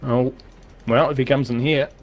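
A man's voice: medium pitch, fairly even intonation, moderate pace. A man talking casually as he plays a co-op video game with a teammate. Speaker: gamer in a co-op session. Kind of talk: casual gameplay chat.

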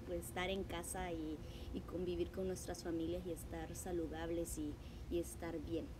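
A woman's voice: lowish voice, little pitch movement, moderate pace. A woman in her thirties with a Salvadoran Spanish accent hosts a casual livestream, chatting informally and a little hesitantly with some English words mixed in. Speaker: art class host. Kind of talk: casual livestream chat to viewers. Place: outdoor patio among trees. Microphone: device mic at about arm's length. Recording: noisy outdoor ambience with traffic hum.

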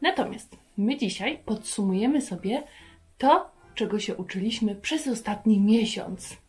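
A woman in her thirties hosting a children's lesson, talking to young viewers in an animated way and asking them questions. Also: mid-range voice, unhurried, soft background music. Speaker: children's Bible lesson host. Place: carpeted room at home.

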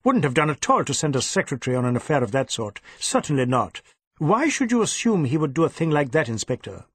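A male narrator plays an older Indian gentleman of the club, proper and brisk. He speaks in clipped, old-fashioned phrases, with a raised pitch that moves freely and a husky edge. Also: very animated, no room sound. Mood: brisk, severe.

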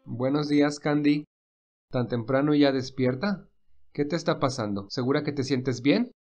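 A man's voice: medium pitch, moderate pace, darkish tone, lightly acted. A man voicing a kind, considerate young man who hides his nerves, in an audio story.

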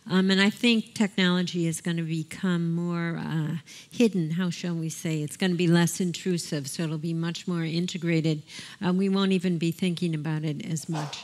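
A woman panelist on a conference stage, explaining in a measured way into a headset mic. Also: on the low side, steady tone. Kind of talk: panel discussion answer.

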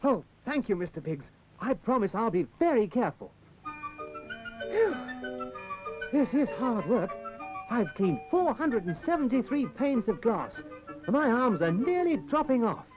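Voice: medium pitch, big pitch swings, a little slow, pauses often. A performer playing a hapless, apologetic young man, earnest and plaintive, in a 1960s children's puppet show. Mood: eager, apologetic. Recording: muffled 1960s TV soundtrack with music.

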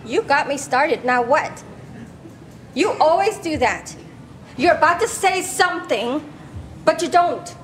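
Woman acting high-pitched, scolding immigrant mother. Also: expressive, measured pace, pauses often. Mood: exasperated. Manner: scolding, emphatic, exasperated. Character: scolding, bitter Cambodian refugee mother of grown son. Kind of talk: staged play reading. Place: large glass-walled hall, on stage. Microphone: handheld microphone through PA speaker. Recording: noisy live stage PA recording.

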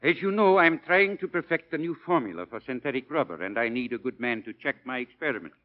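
An actor playing a demanding, brusque priest and science professor in a 1940s radio drama. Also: male voice, mid-range voice, lively intonation, fast, close to an everyday voice. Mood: persuasive. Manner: emphatic, impatient, gruffly mocking. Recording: dull 1940 radio recording.

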